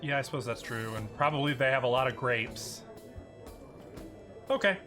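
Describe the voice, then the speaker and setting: A man's voice: mid-range voice, moderate pace, sharp tone. Man running a tabletop game over music. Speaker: game master. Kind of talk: improvised narration and table talk in a tabletop game. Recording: music playing behind the voice.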